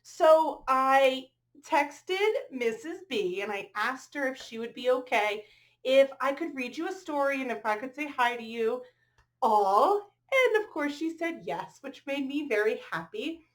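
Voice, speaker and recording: Slow, animated, high-voiced woman reading to children. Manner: expressive, drawn-out reading with questions to children. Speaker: teacher reading aloud to children. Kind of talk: storybook read-aloud with asides to young listeners.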